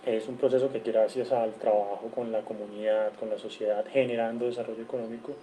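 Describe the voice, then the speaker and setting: A man's voice: fairly low pitch, level tone, brisk, warm tone, a little hiss or hum, rough recording. A man from Cali, Colombia, with a Colombian accent, giving an earnest online seminar lecture over a video call, listing figures and often correcting himself. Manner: earnest, lists figures, frequent self-corrections. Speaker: guest lecturer.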